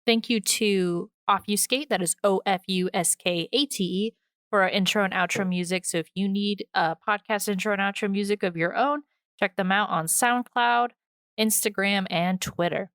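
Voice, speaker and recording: A woman's voice: medium pitch, steady tone, normal speed, a bit bright, no echo, studio quality. A woman co-hosting a podcast, giving casual outro plugs in an informal, jokey way. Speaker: podcast co-host.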